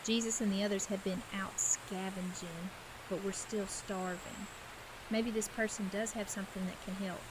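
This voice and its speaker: A woman's voice: mid-range voice, steady tone, normal speed, background noise, lightly acted. A woman playing a resolute, wary survivor leader in an audio drama, firm, direct and businesslike. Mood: worried.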